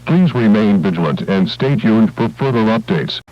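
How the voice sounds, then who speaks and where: Male emergency alert announcer, lowish voice, formal scripted read, dull band-limited sound. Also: expressive, moderate pace.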